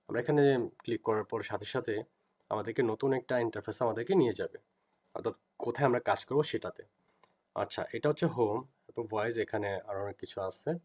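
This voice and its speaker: A man with a Bangladeshi accent giving an informal software tutorial, explaining step by step and repeating himself as he teaches. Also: fairly low pitch.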